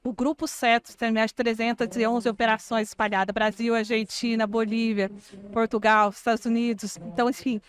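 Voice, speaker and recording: A woman, a guest telling her life story in an expressive, heartfelt way. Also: on the high side, fairly quick. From Minas Gerais, Brazil. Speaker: guest, franchise founder. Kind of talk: relaxed interview chat.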